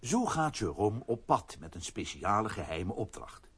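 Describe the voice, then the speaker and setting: A man narrating a children's radio adventure dramatically, in a rough, husky voice. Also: on the low side, very animated, normal speed, a bit bright, full character voice. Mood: dramatic. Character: animated storyteller of a children's adventure.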